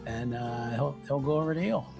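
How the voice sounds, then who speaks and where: A man's voice: medium pitch, brisk, creaky, a little mellow, faint music behind. A man casually describing his character's actions as a player in a tabletop game, in a loose, jokey way. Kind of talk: casual improvised game chat.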